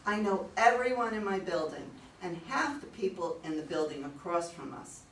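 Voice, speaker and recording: A woman's voice: on the low side, expressive, moderate pace, a bit bright. A woman in a staged play reading as an anxious, talkative client, earnest in manner. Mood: chatty, earnest. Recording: distant mics, echoey room, faint noise.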